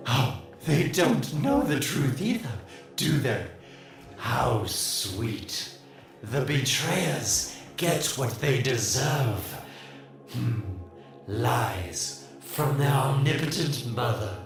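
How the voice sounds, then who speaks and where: A smug, scheming male villain in a video game. He drawls sarcastically with a gravelly creak, taking his time over every taunt. The voice is heavily echoed, with faint music behind. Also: medium pitch, very animated, very slow, heavily acted. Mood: mocking. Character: sly, mocking, self-amused male schemer villain. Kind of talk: dramatic video game voice acting.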